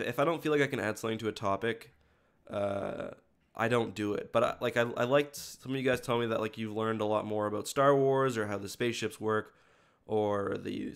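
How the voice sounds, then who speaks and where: Low-voiced man with a sniffly cold. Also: fairly even intonation, moderate pace, creaky, smooth. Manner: rambling, self-correcting, stop-start phrasing. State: has a cold, sniffly congested voice. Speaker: video creator hosting a livestream. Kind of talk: casual livestream chat with viewers.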